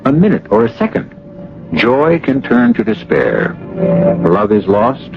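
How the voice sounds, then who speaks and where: A man hosting a radio mystery series with dramatic, deliberate, literary narration. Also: mid-range voice, big pitch swings, gravelly. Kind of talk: theatrical scripted radio narration. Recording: dull old radio broadcast, music.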